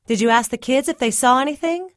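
A woman voicing a sharp, worried rural mother who scolds and questions in an audiobook. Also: sits high, quick pace, no echo, studio quality, voice pushed up, natural voice. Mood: anxious, insistent. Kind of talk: audiobook character dialogue.

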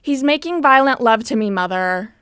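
A woman's voice: a little high, animated, normal speed, fairly bright tone, smooth, no echo. A teenage girl playing a sweet, teasing young woman in a radio play, recorded close and dry. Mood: teasing, exasperated.

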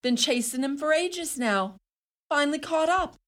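A woman acts a bitter Irish wife in a radio drama. Her voice is very high and her delivery is theatrical and full of reproach. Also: animated, normal speed, fairly bright tone. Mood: bitter, reproachful. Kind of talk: radio play acting.

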